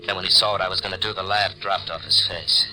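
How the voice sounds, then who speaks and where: A man's voice: deep voice, fairly even intonation, fast, sharp tone, soft background music. An actor in a 1940s radio thriller playing an anxious hardboiled dupe who narrates his own downfall. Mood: vengeful narration. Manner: tense, dramatic, hardboiled. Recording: noisy old 1940s radio recording.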